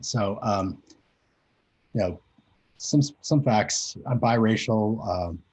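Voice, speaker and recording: A man's voice: lowish voice, slow pace, darkish tone, breathy edge. A man in his forties, raised in Japan, giving a hesitant, conversational presentation over a video call with many fillers. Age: 40s. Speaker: conference speaker. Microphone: video call mic.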